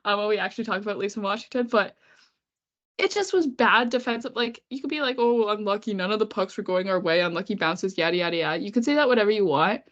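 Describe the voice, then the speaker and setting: A congested woman with a cold talks hockey in a casual, chatty way, laughing often and slipping in sarcastic remarks. Her voice sits at a middling pitch. The audio sounds a bit dull and lo-fi. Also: animated, fast talker. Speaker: podcast co-host. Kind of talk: casual chat about hockey.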